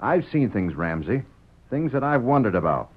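Old lo-fi radio drama, young American deputy, animated intonation, indignant, folksy cowboy talk. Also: male voice, medium pitch, normal speed. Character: hot-headed, plain-spoken young frontier deputy. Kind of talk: old-time radio western acting. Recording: dull, lo-fi old radio recording.

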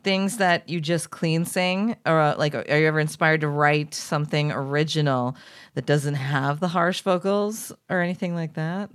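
A woman hosting a casual podcast interview, a vocal teacher with a low voice who explains at length. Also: steady tone, moderate pace. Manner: talkative, explaining in long runs.

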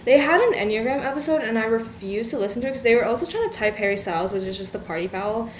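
A woman's voice: on the high side, fast talker, slight background noise, low-quality recording. A woman in her twenties co-hosting a podcast, chatting casually with many fillers and trailing sentences. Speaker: podcast co-host. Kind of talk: casual chat.

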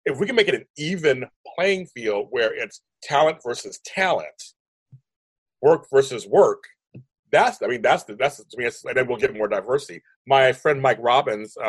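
Brief: man, 50s, deep and husky, rapid emphatic interview guest, dry close sound. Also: no room sound. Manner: rambling, restarts sentences, emphatic. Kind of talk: animated conversational interview.

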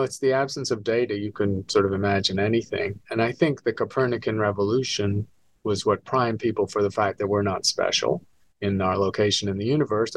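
An older man, born in Scotland, answering interview questions as an astronomy professor in a relaxed, wry, explanatory way. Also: lowish voice, no room sound. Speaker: astronomy professor, guest author.

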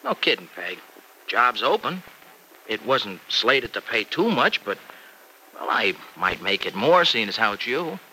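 A man's voice: fairly low pitch, sing-song, unhurried, pushed and bright, exaggerated voice, rough recording. An American actor playing an earnest, teasing young farm man in a mid-century radio drama, with folksy, slangy speech. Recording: old radio recording with faint hiss.

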